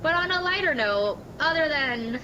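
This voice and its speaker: A woman's voice: sits high, animated, normal speed, sharp tone, muffled recording, slight background noise. A woman with an American accent talking casually as a podcast co-host. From Miami, Florida. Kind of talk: casual chat.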